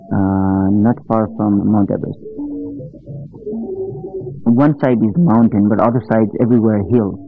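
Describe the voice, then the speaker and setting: A man from Nepal with a Nepali accent, recalling his life in halting English full of fillers for a documentary interview. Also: on the low side, vocal fry. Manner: halting non-native English with many fillers. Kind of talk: interview recollection. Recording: tinny lo-fi sound with faint music.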